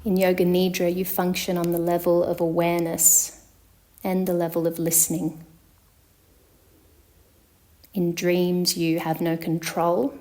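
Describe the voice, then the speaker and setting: A woman with a slightly low voice guides a yoga nidra practice. Her delivery stays flat and steady, giving calm, measured instructions. She leaves long pauses between phrases, as a meditation teacher does.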